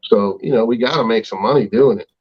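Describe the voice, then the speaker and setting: A man's voice: medium pitch, fast. A man co-hosting a podcast, talking in a plain, matter-of-fact way. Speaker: co-host. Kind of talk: casual podcast talk. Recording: dull lo-fi audio.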